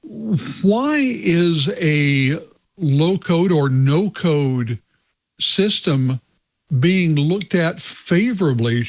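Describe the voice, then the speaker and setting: A man hosting a tech podcast, introducing his guest and asking questions in a measured, deliberate way. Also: a little high. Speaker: podcast host.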